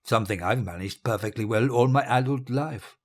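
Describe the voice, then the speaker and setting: An actor playing a murderous, grandiose and bitter dictator facing death, husky and theatrical, savouring his words in an audio drama monologue. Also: mid-range voice, brisk, lightly acted. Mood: wry, sinister. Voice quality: husky, breathy rasp. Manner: pompous, theatrical, savouring words, drifting into murmurs.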